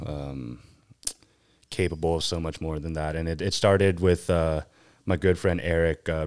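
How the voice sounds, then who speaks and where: A man's voice: rumbling low, clear, resonant tone, dry recording, studio quality. A young man from Pasadena, a guest on a casual podcast, talking in an easygoing, rambling way with lots of fillers. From Pasadena, California. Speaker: podcast guest, van builder.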